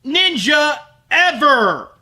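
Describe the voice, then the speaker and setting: A man's voice: extremely high pitch, sing-song, extremely slow, background noise. A man delivering a scripted comedic review as host, sarcastic, exasperated and prone to swearing. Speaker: host of an anime review show.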